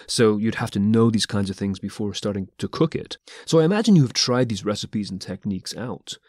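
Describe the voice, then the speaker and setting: A man's voice: fairly low pitch, a little mellow, dry recording, studio quality. A man hosting a conversational podcast interview, speaking in articulate, reflective, well-formed sentences. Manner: articulate, measured, reflective phrasing.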